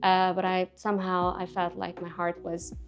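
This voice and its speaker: A young adult woman from Hungary, speaking English as an in a relaxed interview, soft-spoken and reflective, pausing and restarting often. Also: on the low side, faint music behind. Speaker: artist guest. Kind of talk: casual interview. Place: Budapest.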